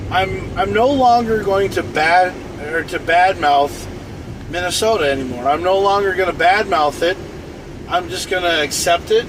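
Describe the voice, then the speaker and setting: A man in his forties from North Dakota, hosting a live stream in a rambling, repetitive casual monologue, often restarting and repeating phrases. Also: high voice. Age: 40s. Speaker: livestream host. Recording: noisy outdoor traffic behind. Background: car and street noise.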